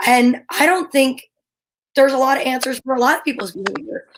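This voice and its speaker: A woman talk show host chatting casually, telling stories in an animated, rambling way. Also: sits high, brisk, rough recording. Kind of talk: casual chat.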